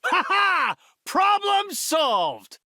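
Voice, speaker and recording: A composed, brilliant superhero team leader from a video game. His voice sits fairly high for a male and moves around expressively. He speaks like an earnest scholar, precise and heroic, in a very dry studio sound. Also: sing-song, extremely slow, gravelly, pushed and bright, no room sound, exaggerated voice. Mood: triumphant. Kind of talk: video game character voice lines.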